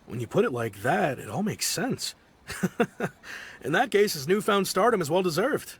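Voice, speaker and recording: A laid-back, laughing young sailor. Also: male voice, sits high, a little slow, breathy edge, full character voice. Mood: friendly. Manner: friendly, laughing, relaxed. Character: easygoing young male sailor of a fleet. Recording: game audio with music behind. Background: game music.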